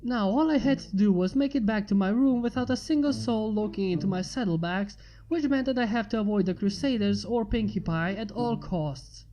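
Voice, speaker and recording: A woman's voice: mid-range voice, moderate pace, few pauses, mellow. A woman reading a scripted story aloud as its narrator. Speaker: fan fiction reader. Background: music.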